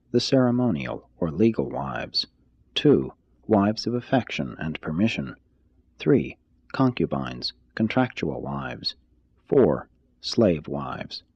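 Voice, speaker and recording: A man reading a scripted expository text aloud like an audiobook, in a formal, measured manner. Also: deep voice, darkish tone, slightly rough, no echo, highs cut off. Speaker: reader, narrator.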